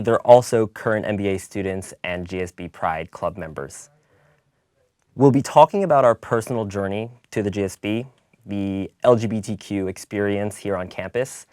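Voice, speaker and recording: Young American male moderator, very low voice, dry close sound, hosting a student webcast. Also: dry recording. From northern New Jersey, United States. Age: 20s.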